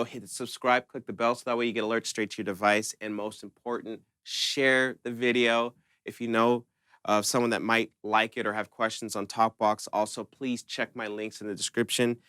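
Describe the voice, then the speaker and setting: A man's voice: medium pitch, flat delivery, moderate pace, sharp tone, clear, resonant tone, no room sound, clean studio sound. A man hosting a synthesizer tutorial, talking in an informal, conversational way as he explains things step by step. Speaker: host of a synth tutorial channel. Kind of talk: casual step-by-step music tutorial.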